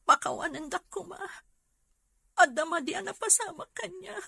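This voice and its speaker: A woman's voice: very high voice, animated, normal speed, vocal fry, sharp tone, no echo, full character voice. A woman with an Ilocano Filipino accent playing an emotional, earnest young woman in a melodrama. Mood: tearful pleading. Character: emotional young woman, daughter and loyal friend.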